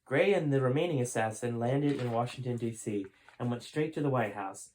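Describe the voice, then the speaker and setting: A man's voice: medium pitch, fairly quick, darkish tone, a little hiss or hum, poor audio. Male storyteller, informal delivery, mid-range voice, narrating a book aloud. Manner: informal, stops to correct own character voices. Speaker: author reading own book aloud, host.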